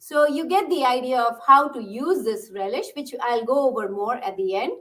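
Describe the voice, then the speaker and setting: A woman from Bangalore explains a recipe step by step in a friendly way. Her voice is high and bright, and it is picked up by a mic set a little away from her. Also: normal speed, a little hiss or hum. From Bangalore, India. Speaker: cooking instructor. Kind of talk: casual cooking demonstration. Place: home kitchen. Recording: distant mic.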